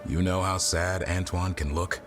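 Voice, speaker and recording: Low-voiced, dry monster hunter. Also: male voice, little pitch movement, a little slow, music in the background. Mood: dry, easygoing. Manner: terse, understated, dry. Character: gruff, laconic male monster hunter, dryly amiable. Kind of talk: video game voice acting.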